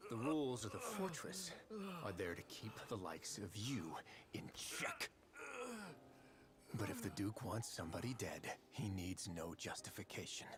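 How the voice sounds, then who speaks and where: An actor playing a calm, commanding male fortress warden in a video game, measured and firm. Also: fairly low pitch, background noise. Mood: menacing. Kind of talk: game voice acting.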